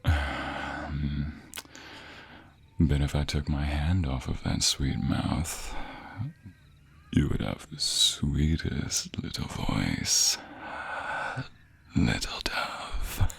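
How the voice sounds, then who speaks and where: A man playing a teasing, menacing bandit in an audio roleplay, with slow, hushed, drawn-out taunts. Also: a bass voice, vocal fry, slightly rough, full character voice. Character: menacing, teasing male bandit stalking his prey in dark woods.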